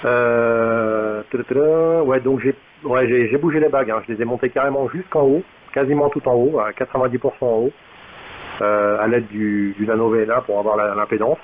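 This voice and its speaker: A man's voice: medium pitch, fairly even intonation, normal speed. A man explaining things in casual technical chat as an amateur radio operator over a two-way radio. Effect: two-way radio transmission. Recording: thin phone-like radio sound, faint hiss.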